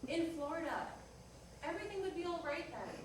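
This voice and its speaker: Lady acting a proud indignant jilted fiancee in a play reading, high voice, noisy recording. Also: expressive, brisk. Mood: defensive. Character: jilted, proud, indignant woman, youngest of four sisters. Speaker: actor in a staged play reading. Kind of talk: staged reader's theater dialogue. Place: live staged reading in a reverberant room. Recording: echoey, noisy room, distant mic.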